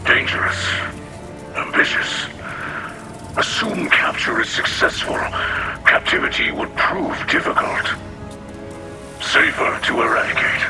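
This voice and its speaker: A man playing a solemn alien leader in a game cutscene, with a breathy rasp and halting, formal phrases. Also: medium pitch, sing-song. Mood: grave, wary. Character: solemn alien insectoid splicer leader, male. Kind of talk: video game cutscene acting. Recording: music behind the voice. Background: music.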